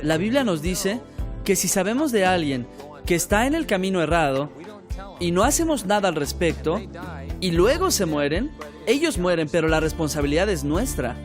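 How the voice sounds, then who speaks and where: Man, high-pitched, earnest testimony over faint music; grew up in Ecuador. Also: big pitch swings, moderate pace. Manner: earnest storytelling with preaching turns. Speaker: missionary's son telling his story. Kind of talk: documentary interview testimony.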